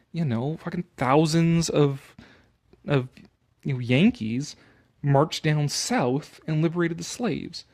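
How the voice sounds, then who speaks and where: A man's voice: medium pitch, darkish tone. A Canadian man giving casual, opinionated commentary on an article he reads aloud, sarcastic, exasperated and given to swearing.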